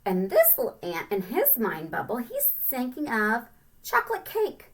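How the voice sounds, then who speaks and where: A woman teacher reading a picture book aloud to young children, with simple, expressive explanations in between. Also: fairly high pitch, normal speed.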